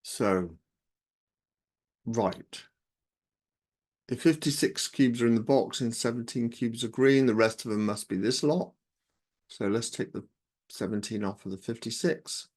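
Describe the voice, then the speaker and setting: A man with a croaky voice, working through exam maths questions aloud step by step as a tutor. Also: mid-range voice, measured pace, long pauses. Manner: steady step-by-step, talks himself through sums.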